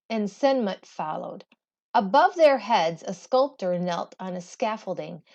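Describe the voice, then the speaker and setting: A woman teacher reading a storybook aloud with expressive, deliberate, emphatic phrasing. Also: mid-range voice, highs cut off.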